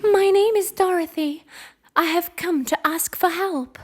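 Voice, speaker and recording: A woman voicing an innocent, polite little girl in a children's animated fairy tale, childlike and earnest. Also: extremely high pitch, a little slow. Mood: timid, earnest.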